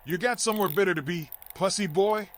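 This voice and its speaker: An actor playing a menacing animal street thug in a video game, mocking and confrontational. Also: male voice, high voice, fairly quick, a bit bright. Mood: angry threat.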